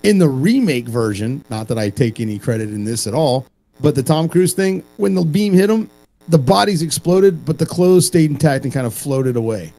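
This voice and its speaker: A man co-hosting a casual show, joking and swearing freely in an animated, streetwise way. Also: fairly high pitch, normal speed, low-quality recording. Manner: animated, profane, streetwise ribbing. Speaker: co-host.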